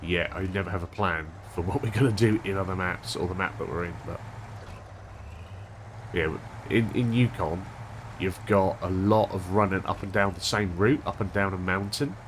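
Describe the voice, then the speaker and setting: Low-voiced stuffy-nosed man rambling. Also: normal speed, slight background noise. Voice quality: monotone. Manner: rambling, thinking aloud with restarts. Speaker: gaming channel host. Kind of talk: casual gameplay commentary.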